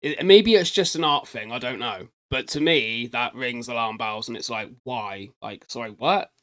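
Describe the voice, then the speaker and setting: A man chats casually as a reaction host. He rambles through his thoughts in a mid-pitched voice and often turns to address the viewers directly. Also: normal speed, fairly bright tone. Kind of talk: casual chatty reaction commentary.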